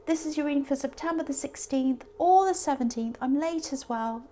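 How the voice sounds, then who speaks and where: A woman with a hoarse, sore voice giving a casual tarot reading, chatty and meandering as she speaks straight to the viewer. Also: sits high, brisk, dark tone, slight background noise. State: hoarse, sore voice from talking a lot. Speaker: tarot reader.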